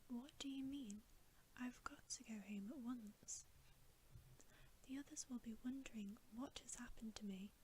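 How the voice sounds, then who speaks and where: A woman's voice: on the high side, unhurried, darkish tone, close to an everyday voice, low-quality recording. A woman voicing an anxious, kind-hearted little girl in a whispered ASMR storybook reading. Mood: worried. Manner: whispered, earnest, concerned. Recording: faint background hiss.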